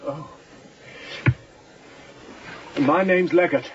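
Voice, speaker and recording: An actor playing an exhausted, intense, unrepentant fugitive chief mate in a radio drama, speaking in clipped, grim sentences. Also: male voice, a little high, moderate pace, highs cut off, lightly acted. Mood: exhausted, gasping. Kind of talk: radio drama acting, confessional storytelling.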